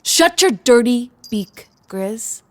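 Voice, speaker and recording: A woman's voice: on the high side, expressive, slow pace. A woman playing a brash, pushy, street-smart cat in an audio drama, bossy, theatrical and emphatic.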